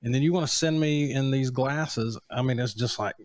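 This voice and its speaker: A man's voice: mid-range voice, moderate pace, darkish tone, dry recording. A man in his late forties co-hosting a casual chat, teasing with dry jokes and banter. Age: 40s. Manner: wry, joking banter. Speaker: podcast co-host.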